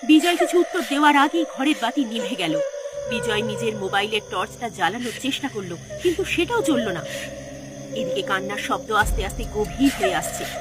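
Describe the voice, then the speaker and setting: A woman's voice: fairly high pitch, sing-song, fairly quick, loud music behind the voice. A woman narrator reading a scripted horror story in a dramatic style.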